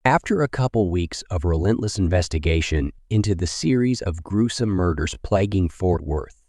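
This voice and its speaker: A man's voice: deep voice, lively intonation, normal speed, dark tone, no echo, clean studio sound. A man narrating a scripted crime story in a dramatic storytelling style.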